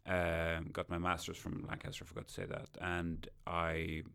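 A man from Sweden, a native Swedish speaker, talks through a casual podcast as the guest. His voice sits very low and has a gravelly grain. He speaks in a measured way and drops in dry, witty asides. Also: monotone, pushed and bright. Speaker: guest lecturer.

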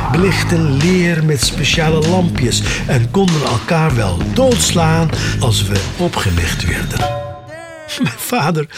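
Passionate collector over loud music. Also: male voice, on the high side. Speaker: voice actor in an audio drama. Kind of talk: dramatic monologue in a radio play.

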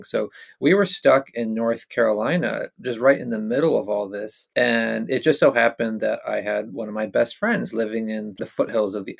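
A man's voice: on the low side, creaky. An American man, an author, talking casually in a remote interview, rambling a little with restarts. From United States. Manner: relaxed, rambling, frequent restarts. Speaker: author guest.

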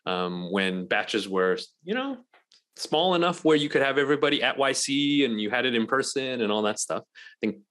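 A man's voice: medium pitch, moderate pace. A man in his thirties from Malaysia, a podcast guest talking casually about his career with many fillers. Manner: relaxed, rambling with many fillers. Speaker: podcast guest, accelerator co-founder. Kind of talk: casual interview talk.

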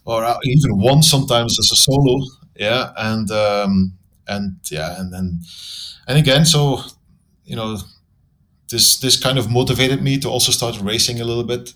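A man from Belgium with a Flemish accent, speaking as a podcast guest in a relaxed, measured way with frequent pauses and ums. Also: mid-range voice, rough recording. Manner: thoughtful, measured, with many ums and restarts. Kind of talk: casual interview conversation.

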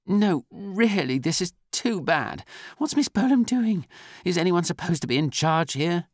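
A storybook reader acts a timid, irritable male doctor. His voice jumps well above the reader's own, fussy and highly strung, in a close dry recording. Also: very animated, a little slow, dry recording, heavily acted. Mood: irritated, flustered. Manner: fussy, flustered, peevish.

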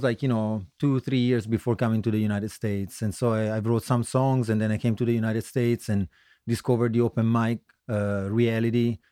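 A middle-aged man from Italy with an Italian accent, telling his story casually as an interview guest, halting and self-correcting with a joking streak. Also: mid-range voice, mellow, no echo, studio quality. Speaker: guest musician, singer-songwriter.

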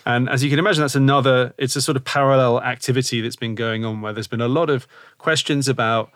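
Male podcast host, mid-pitch, loose rambling talk, dry close mic. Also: fairly even intonation, fast talker, a bit bright, no room sound. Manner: rambling, thinks aloud with many "like" and "kind of". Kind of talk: casual podcast conversation.

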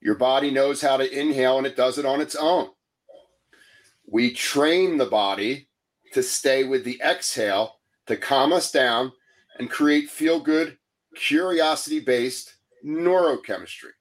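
A man hosting an interview, who also coaches and leads a guided breathing exercise, speaking in a calm, measured, soothing way. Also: mid-range voice. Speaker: host, coach.

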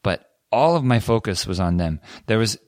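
Low-voiced man telling stories casually. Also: normal speed, no echo, clean studio sound. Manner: relaxed storytelling with frequent fillers. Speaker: podcast co-host. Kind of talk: casual conversational podcast.

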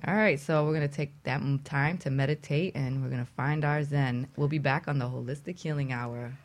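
A woman's voice: low voice, steady tone, fairly quick. A woman co-hosting a radio show in a casual chatting style. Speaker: radio co-host. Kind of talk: casual chat.

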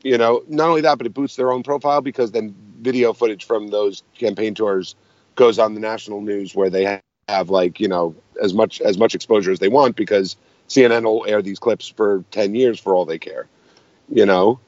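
Hoarse low-voiced male guest, lo-fi line. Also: steady tone, normal speed, darkish tone, dry recording. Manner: explanatory, analytical talk. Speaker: podcast guest, political commentator. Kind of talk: casual interview chat.

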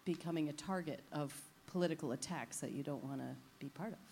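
A woman's voice: deep voice, quick pace, a little mellow, slight background noise. Earnest woman from the US asking a question. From United States. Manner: earnest, slightly hesitant questioner. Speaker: audience member asking a question. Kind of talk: question from the floor.

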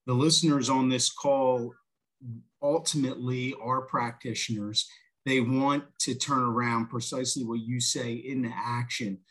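A man's voice: medium pitch, fairly bright tone. A man co-hosting a call for practitioners, pressing the guest for practical, actionable steps. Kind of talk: interview questions.

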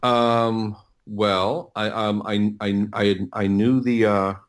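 A middle-aged man with a fairly low voice chats as an interview guest. He tells his story in a casual, rambling way, doubling back on words while he gathers his thoughts. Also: level tone. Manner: halting, rambling, repeats words as he thinks. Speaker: podcast guest, audio producer.

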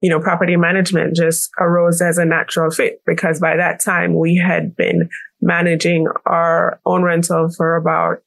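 A woman's voice: on the low side, completely flat delivery, normal speed, gravelly, no echo. A woman from Jamaica with a Jamaican accent, explaining things conversationally as a business-owner interview guest.

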